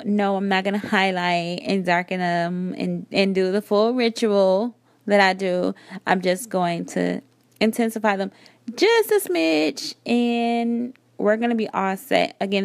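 A woman in her thirties talks through her beauty routine step by step in an easygoing, conversational way. Her voice sits at a middle pitch. The voiceover is recorded close and dry, with no room sound. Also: vocal fry, dry recording. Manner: relaxed, chatty step-by-step explaining. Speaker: beauty vlogger. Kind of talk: makeup tutorial voiceover. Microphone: voiceover recorded separately.

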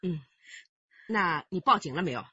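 An actress playing a stern grandmother in a radio drama, sharp, reproachful and commanding. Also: lowish voice, lively intonation, moderate pace, slightly rough, no room sound, lo-fi sound, exaggerated voice. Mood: indignant, resolute. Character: stern, scolding grandmother, decisive family matriarch.

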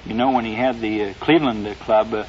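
Fast, folksy man on vintage radio. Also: lowish voice, fairly even intonation, a little mellow. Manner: halting, folksy storytelling. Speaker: guest sports writer. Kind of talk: early 1950s radio interview. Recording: dull, noisy old radio recording.

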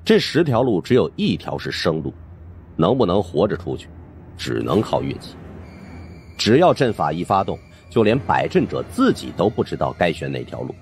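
A man's voice: low voice, huge pitch swings, moderate pace, faint music behind. A man narrating a supernatural suspense audiobook in a theatrical, suspenseful way. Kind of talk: dramatic scripted storytelling of a supernatural suspense novel.

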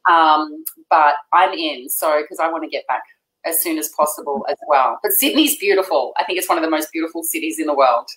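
Low-voiced Australian woman, echoey room. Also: fairly quick. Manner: warm, effusive, laughs often. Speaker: guest. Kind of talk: casual chat. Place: home room. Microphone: video call. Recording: echoey room on video call.

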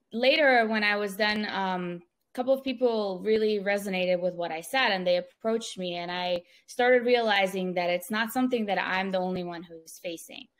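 A woman, answering interview questions in a measured way with many fillers, over a video call. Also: medium pitch, a bit bright. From Ukraine, raised in Israel. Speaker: podcast guest. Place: small room at home. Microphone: wired earphone mic on video call.

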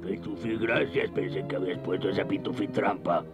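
A man playing a very old, kindly, weary gnome grandfather in a cartoon, gravelly and fretful. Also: low-pitched, steady tone, brisk, music in the background. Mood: trusting. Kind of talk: cartoon character acting.

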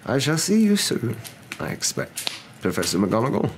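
A man voicing a calm, wise elderly wizard in a storybook, with a measured, drawn-out delivery. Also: mid-range voice, voice pushed up. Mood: resigned. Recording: noticeable background noise.

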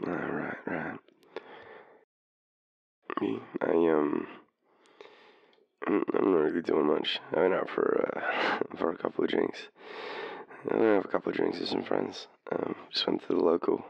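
A man's voice: sits low, steady tone, dry recording, lo-fi sound, heavily acted. An Australian man playing a tipsy, shy, lovestruck young guy phoning his crush in an intimate audio roleplay, hesitant and rambling. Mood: nervous, tipsy. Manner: hesitant, rambling, halting, breathy chuckles. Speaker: voice actor in boyfriend roleplay. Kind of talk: intimate audio roleplay, one-sided phone call.

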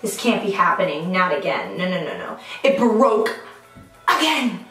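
A woman's voice: fairly low pitch, wildly swooping pitch, a little slow, fairly bright tone, far from the mic. Playful young woman in an echoey bathroom. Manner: playful, self-mocking, laughs often. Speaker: beauty vlogger. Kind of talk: casual makeup vlog chat. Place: small tiled bathroom, indoors. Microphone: camera mic at arm's length. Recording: echoey bathroom, light background music.

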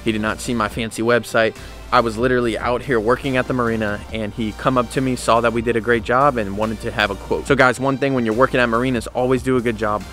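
An upbeat young man chats straight into a handheld camera, full of "guys" and easy fillers as he walks through a how-to. His voice sits at an ordinary middle pitch and is recorded outdoors with faint music underneath. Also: steady tone, fast, fairly bright tone. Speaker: boat detailing business owner. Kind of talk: casual how-to vlog. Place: outdoors at a sunny marina. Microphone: handheld camera at arm's length.

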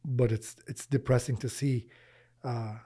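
A man in his forties speaks earnestly into a close studio mic in a small podcast room. His voice is warm and a little husky, and he often circles back over his words while gathering his thoughts. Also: mid-range voice, fairly even intonation, measured pace. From Lebanon, raised in Michigan, US. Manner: earnest, repeats words while thinking, uses Arabic religious phrases. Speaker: podcast guest, charity founder. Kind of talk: casual interview conversation. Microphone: boom-arm studio mic.

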